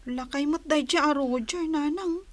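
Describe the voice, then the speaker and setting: An actress playing a young, reluctant grown daughter in a radio drama. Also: female voice, sits high, moderate pace, a little hiss or hum, lightly acted. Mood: defiant. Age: young adult.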